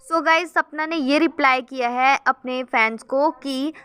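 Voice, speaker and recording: A woman's voice: high voice, measured pace, sharp tone, no room sound. A woman hosting a gossip channel, chatting casually as she reads out viewer comments and keeps addressing her viewers as guys.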